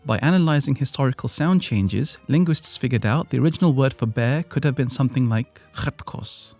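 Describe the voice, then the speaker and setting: A man's voice: mid-range voice, lively intonation, a little fast, a little mellow, faint music behind. A British man hosting an educational podcast, reading a script with playful, self-deprecating asides. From Britain. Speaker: podcast host.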